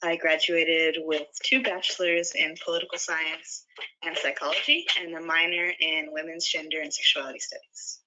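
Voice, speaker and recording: A woman in her twenties, a former foster youth and graduate, gives a clear, orderly self-introduction in a recorded video. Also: low-pitched, flat delivery, moderate pace, lo-fi sound, background noise. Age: 20s.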